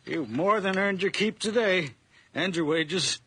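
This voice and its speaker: An actor playing a kindly, proud old farmer in a radio drama, folksy and a little hesitant. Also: male voice, sits high, lively intonation, measured pace, a bit bright, dry recording, heavily acted. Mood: warm, modest. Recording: clearly noisy background.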